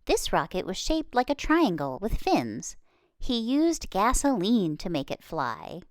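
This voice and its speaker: A woman reading a children's story aloud expressively, with dramatic pauses and emphasis. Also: medium pitch, wildly swooping pitch, no room sound, studio quality. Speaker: children's book reader.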